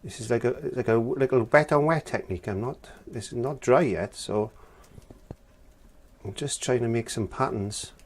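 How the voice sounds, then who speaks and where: A hoarse, croaky man from Wales, middle-aged, talking through an acrylic painting lesson with plain step-by-step remarks as he works. His voice sits at an ordinary middle pitch. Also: moderate pace, slight background noise. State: hoarse from laryngitis. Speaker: art teacher. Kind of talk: painting tutorial.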